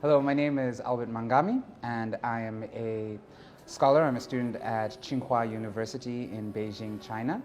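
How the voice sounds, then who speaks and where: A man, a university student, introducing himself in a slow, halting way. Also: medium pitch, fairly even intonation, darkish tone. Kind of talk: self-introduction.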